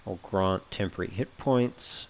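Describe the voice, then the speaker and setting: A man giving casual let's play commentary, thinking aloud in a measured, understated way and often reading game text out. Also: low-pitched, darkish tone. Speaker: gamer narrating a playthrough.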